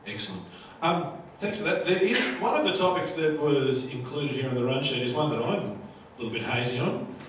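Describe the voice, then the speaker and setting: A man's voice: fairly high pitch, a little fast. A man moderating a live workshop panel in a wry manner. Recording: echoey room, distant mic, noisy background.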